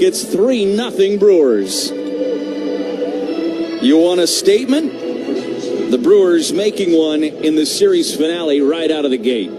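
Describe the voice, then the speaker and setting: A man calling baseball play-by-play live on the radio. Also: high voice. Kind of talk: live radio sports commentary.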